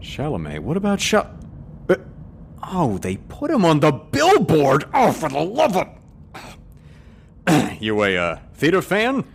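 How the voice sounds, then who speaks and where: An actor playing an undercover agent posing as a chatty, flustered cab driver, hesitating and stalling in a comedic audio drama. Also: male voice, a little high, huge pitch swings, measured pace, creaky, long pauses, a little hiss or hum, exaggerated voice.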